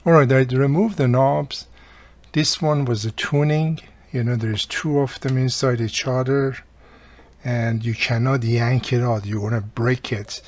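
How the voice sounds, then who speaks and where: A man's voice: mid-range voice, fairly even intonation, a little slow, a little mellow. A man casually explaining a hands-on repair as he works, speaking unscripted with non-native-sounding grammar and often dropped articles. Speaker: radio repair hobbyist and host.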